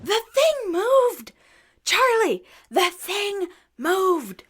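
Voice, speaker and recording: A woman playing an excitable, chatty wandering musician, eager and dramatic, in a radio drama. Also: very high-pitched, very slow, heavily acted. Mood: alarmed.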